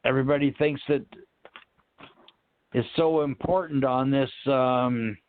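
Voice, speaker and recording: A man speaking in a halting, reflective way, searching for words and trailing off as he discusses spiritual ideas, over a narrow phone-like connection. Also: mid-range voice, fairly even intonation, slight background noise. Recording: narrow, tinny phone-line sound.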